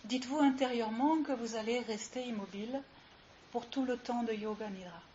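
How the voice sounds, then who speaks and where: A woman leading a guided yoga relaxation as instructor, giving soothing, unhurried instructions with long pauses, recorded live. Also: fairly high pitch, dark tone. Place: live session with ambient sound. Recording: muffled live recording with clear hiss.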